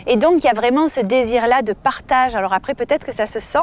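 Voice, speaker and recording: Higher-voiced woman telling a story. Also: quick pace. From southern France. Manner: lively storytelling, with fillers and restarts. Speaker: novelist. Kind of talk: casual interview answers. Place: outdoor festival.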